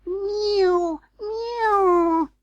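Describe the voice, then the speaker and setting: A storybook narrator voicing a hungry, vexed cat, plaintive and drawn-out. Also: very high voice, no echo, lo-fi sound, voice pushed up, heavily acted. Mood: demanding, mewing. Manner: drawn-out, plaintive mewing and sighing. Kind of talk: storybook character voice.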